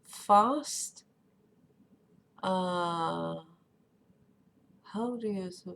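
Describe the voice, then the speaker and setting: Danish-accented woman streaming, slow and hesitant. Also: lowish voice, vocal fry. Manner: hesitant, many ums and uhs while searching for words. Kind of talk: casual live-stream chat.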